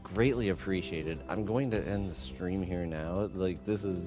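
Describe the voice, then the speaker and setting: A man's voice: deep voice, measured pace. A man streaming a game with casual, musing commentary, often repeating himself. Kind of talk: casual livestream commentary, reading game dialogue aloud. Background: music.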